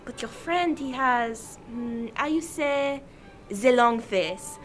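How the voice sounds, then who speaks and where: A woman's voice: on the high side, animated, takes it slowly. A woman playing a charming, worldly French society hostess in a radio drama, coquettish and playful. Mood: flirtatious. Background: music.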